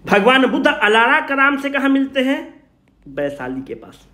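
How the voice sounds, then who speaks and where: A high-pitched man teaches in an echoing room. His intonation swings widely as he puts questions to his students and then answers them himself. The style is an informal, familiar coaching lecture. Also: very animated, moderate pace, bright, forceful tone. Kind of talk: informal exam revision lecture, asking and answering own questions. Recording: roomy, echoing sound.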